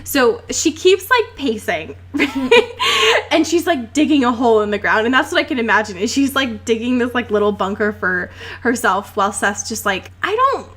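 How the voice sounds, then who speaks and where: A young woman co-hosting a podcast, casually retelling a book in a giggly, animated way and swearing freely. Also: on the high side, moderate pace, few pauses. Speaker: podcast co-host. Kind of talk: casual comedic book discussion.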